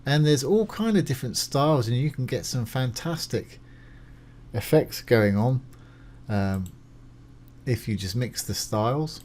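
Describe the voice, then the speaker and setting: Man, medium pitch, casual tutorial presenter, informal, step-by-step explanations, reassuring, screen-recording voice-over. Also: animated, a little slow, long pauses, a little mellow.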